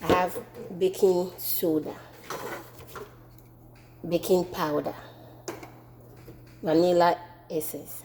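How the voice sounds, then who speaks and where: A woman with a Ghanaian accent demonstrating a home recipe in her kitchen, relaxed and repeating herself as she works. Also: lowish voice, slow pace, long pauses, a little hiss or hum. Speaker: home cooking vlogger. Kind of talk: casual cooking demonstration.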